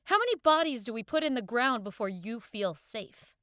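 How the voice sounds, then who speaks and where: Lady acting tough gang woman telling someone off, blunt, highish voice, dry close sound. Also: lively intonation, normal speed, bright, forceful tone, dry recording. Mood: scolding, exasperated. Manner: blunt, scolding. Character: tough, no-nonsense female gang member, exasperated and blunt. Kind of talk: audio drama acting.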